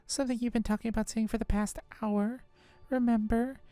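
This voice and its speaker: Woman voicing an earnest, expressive young girl friend. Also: fairly high pitch, a little fast, smooth, no room sound, soft background music, lightly acted. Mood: teasing, prodding. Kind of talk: live visual novel voice acting.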